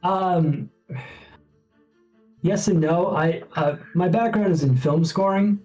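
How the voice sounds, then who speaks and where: A man answering interview questions as a composer, informal and halting as he explains things. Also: sits high, mellow, low-quality recording. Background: background music.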